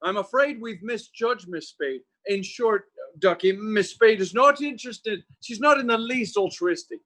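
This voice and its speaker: A man acting a fawning crook's sidekick in a radio detective play. The voice is high and bright, with fussy, wordy phrasing and a nervous stammer. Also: a little slow. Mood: nervous, apologetic. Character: flustered, fawning talkative henchman to a crime boss. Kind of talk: old-time radio detective drama acting.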